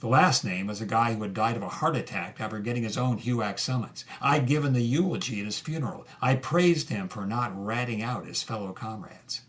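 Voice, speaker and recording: A man's voice: mid-range voice, fairly quick, few pauses, natural voice. Actor as defeated man, bitter, world-weary farewell letter, dramatic audio play delivery. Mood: weary, resigned.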